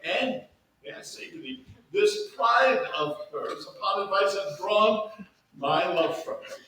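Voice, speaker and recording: A distant, heavily echoing hall carries a man's performance of an old scheming duke. The voice is high and swings widely in pitch, drawing out Shakespearean lines with theatrical, halting exasperation. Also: lively intonation, sharp tone, full character voice. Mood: aggrieved. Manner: theatrical, halting, deliberately drawn-out delivery. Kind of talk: staged reading of Shakespeare. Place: staged reading in a large echoey room. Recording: echoey hall from far off, faint noise.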